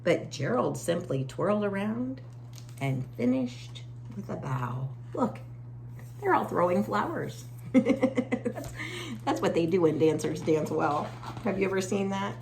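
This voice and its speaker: Expressive, slow-paced woman reading to children. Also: fairly low pitch, creaky, a little hiss or hum. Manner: expressive, drawn-out words, hushed chatty asides. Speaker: children's story reader. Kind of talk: animated read-aloud with casual asides to young listeners.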